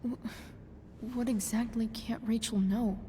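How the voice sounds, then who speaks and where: A woman playing a rebellious, grieving teenage girl in a game, speaking haltingly and earnestly, often hushed. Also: fairly high pitch, steady tone, long reverb tail, a little hiss or hum. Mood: hesitant, concerned. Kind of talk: naturalistic dramatic game acting.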